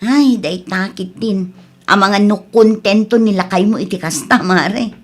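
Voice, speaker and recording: A woman's voice: mid-range voice, a little slow, natural voice. A woman with an Ilocano accent playing an opinionated, skeptical mother who questions and chides in a radio comedy-drama. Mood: concerned. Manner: questioning, chiding.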